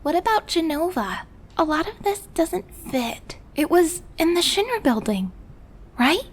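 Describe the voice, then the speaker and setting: An actress playing a thoughtful, gentle young woman in a video game, earnest and inquisitive. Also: extremely high pitch, very animated, measured pace, heavily acted. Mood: puzzled concern. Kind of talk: dramatic video game acting.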